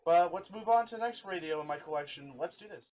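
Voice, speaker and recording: A man's voice: a little high, animated, quick pace, very noisy. A man hosting a casual hobby show-and-tell, chatting informally with friends. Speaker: video host showing a radio collection.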